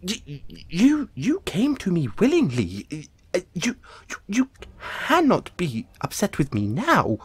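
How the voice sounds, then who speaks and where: Guy doing an anxious dollmaker roleplay, breathy voice, stammers a lot, slow, placating and sorry. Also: sits high, huge pitch swings, no room sound, full character voice. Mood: panicked, defensive. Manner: stammering, halting, flustered, placating. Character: anxious, apologetic male dollmaker who dabbles in soul magic. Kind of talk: audio roleplay, addressing the listener.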